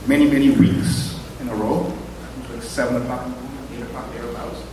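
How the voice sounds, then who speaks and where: A man's voice: medium pitch, fairly even intonation, brisk. A man giving a rambling, self-deprecating speech at a brunch event, full of ums and asides. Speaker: event speaker. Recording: echoey hall from far off, noisy.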